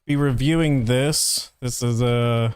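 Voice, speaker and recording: Man, casual streamer commentary, hesitant and rambling, smooth clean voice, dry close-mic sound. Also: medium pitch, fairly even intonation, slow, dry recording. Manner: hesitant, rambling, thinking aloud. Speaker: voice actor reviewing a fandub.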